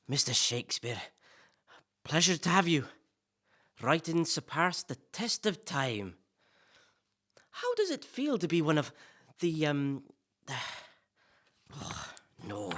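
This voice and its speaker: Man playing flustered podcast host, very animated intonation. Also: a little high, exaggerated voice. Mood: rehearsing, unsure. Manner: hesitant, apologetic, nervously deferential. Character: flustered, polite, eager-to-please male celebrity podcast host. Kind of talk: comic audio play acting.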